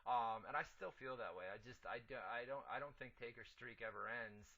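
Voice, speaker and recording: A man hosting a live call-in radio show, chatting casually in an excitable, joking way with a New York accent. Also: mid-range voice, a little fast, vocal fry, dull audio.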